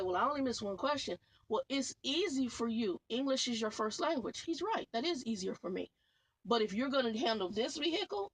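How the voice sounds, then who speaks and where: A woman's voice: medium pitch, poor audio. A woman truck driver vlogging in a casual, rambling way, repeating herself and calling her viewers y'all. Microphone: phone.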